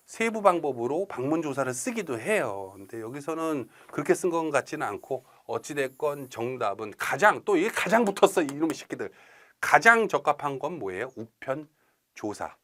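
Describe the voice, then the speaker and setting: A man's voice: fairly high pitch, fairly bright tone. A man giving an informal recorded exam-prep lecture, chatty and colloquial, often checking in with rhetorical questions. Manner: colloquial asides and playful imagined quotes.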